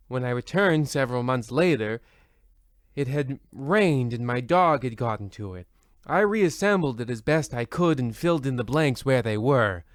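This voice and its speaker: A man's voice: medium pitch, no echo, clean studio sound, close to an everyday voice. A man playing a wry, rambling host of an old-time radio mystery show, addressing listeners in a theatrical style.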